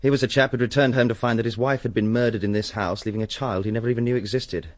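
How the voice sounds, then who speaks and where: A man's voice: medium pitch, fairly even intonation, fast talker, natural voice. An actor playing a matter-of-fact upper-class young man in a radio drama, with a clipped manner. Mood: matter-of-fact, weary.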